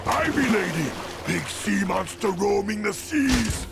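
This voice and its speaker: A childlike, brutish video game monster. The voice is high and gravelly, swinging about as it exclaims in broken phrases. Loud music plays behind it. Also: male voice, lively intonation, unhurried, very noisy, exaggerated voice. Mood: alarmed. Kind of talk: video game cutscene acting.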